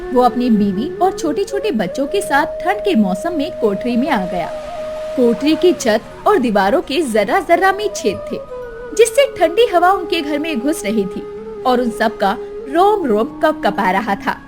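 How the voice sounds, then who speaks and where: A woman tells a cartoon story in a high, lively voice. Her scripted narration is dramatic, and music plays clearly behind her. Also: measured pace.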